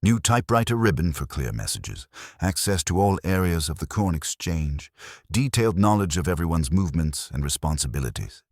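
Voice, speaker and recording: Deep, husky, shrewd inspector played by a man. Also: sing-song, no echo, studio quality. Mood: dry, deliberate. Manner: formal, deliberate, dryly observant. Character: shrewd, methodical male police inspector.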